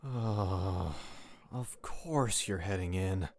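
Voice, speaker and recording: A man's voice: deep voice, drawn-out words, creaky, darkish tone, dry recording, heavily acted. A man playing a wary, exasperated cleric in an audio drama, speaking hesitantly. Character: wary, exasperated male cleric following his comrade.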